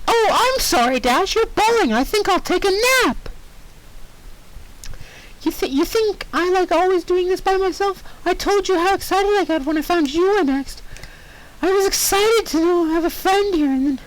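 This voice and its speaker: A man's voice: very high voice, normal speed, pitched above the performer's own voice, low-quality recording. A man voicing a chirpy, manic, cruel young female cartoon pony with a pouty, scolding sing-song delivery. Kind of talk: cartoon fan fiction character reading.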